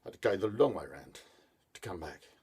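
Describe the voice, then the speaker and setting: Young man, shaken, breathy voice, storyteller doing it. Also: sits low, lively intonation, a little fast, darkish tone, voice pushed down, heavily acted.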